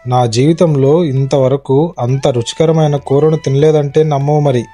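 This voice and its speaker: A man playing a witty, wily court poet in an animated moral story, exclaiming and persuasive in a colloquial way. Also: on the high side, normal speed, soft background music, lightly acted. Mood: delighted. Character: witty, wily adult male court poet, husband and father.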